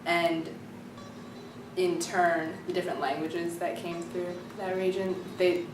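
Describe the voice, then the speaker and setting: A woman's voice: fairly low pitch, flat delivery, slow pace, noisy background. Chatty young woman student, echoey. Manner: enthusiastic, chatty with many fillers. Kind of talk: interview, casual reflection. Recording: echoey room from far off, music behind.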